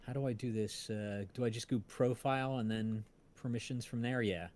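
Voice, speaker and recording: A man hosting a casual livestream chat, talking in a halting way as he searches for words. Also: mid-range voice, fairly even intonation, crowd noise. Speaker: host of a cast and crew livestream, audio drama writer.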